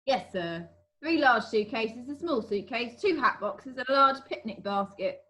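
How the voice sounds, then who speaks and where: A woman's voice: a little high, lively intonation, unhurried, bright, forceful tone. A woman playing a polite, matter-of-fact male servant in an amateur play read-through. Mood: dutiful. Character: formal, deferential male household servant. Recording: faint hum on video call.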